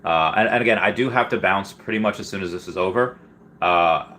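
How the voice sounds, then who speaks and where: A man's voice: low-pitched, brisk, sharp tone. A man from Peru hosting a casual livestream, reading out and answering viewer comments in a rambling, stop-start, slangy way. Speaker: livestream host.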